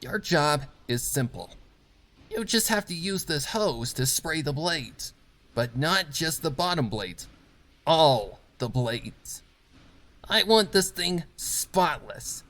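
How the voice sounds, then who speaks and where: A man's voice: fairly high pitch, animated, slow pace, fairly bright tone, voice pushed up, exaggerated voice. A man voicing a cold, domineering female pegasus factory boss in a fan story reading, giving commanding, menacing orders. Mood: stern, threatening.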